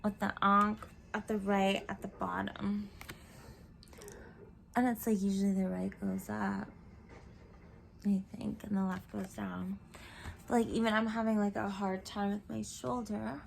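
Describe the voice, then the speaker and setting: Croaky woman giving a spiritual reading. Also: mid-range voice, level tone, measured pace, long pauses, a little hiss or hum. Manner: meandering, reflective, frequent fillers and drifting into whispers. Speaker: tarot reader. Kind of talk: spiritual card reading monologue. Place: home room. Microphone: camera mic.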